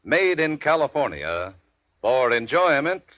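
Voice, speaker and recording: A man's voice: mid-range voice, big pitch swings, unhurried, a bit bright, no room sound, lo-fi sound. A man reading scripted advertising copy as a 1940s radio commercial announcer.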